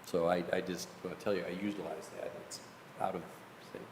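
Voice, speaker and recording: Low-voiced guy rambling loud at a town meeting, echoey chamber, faint hiss. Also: steady tone, fairly quick. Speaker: planning commissioner. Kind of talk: casual meeting remarks. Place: council chamber, echoey room. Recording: echoey hall from a room mic.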